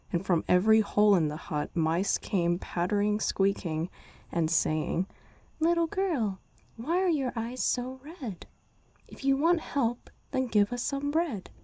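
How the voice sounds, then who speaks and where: A woman reading a bedtime story in an ASMR girlfriend roleplay, speaking softly and chatting to the listener, close to the mic. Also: on the low side, creaky, no echo. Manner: soft, chatting to the listener between lines.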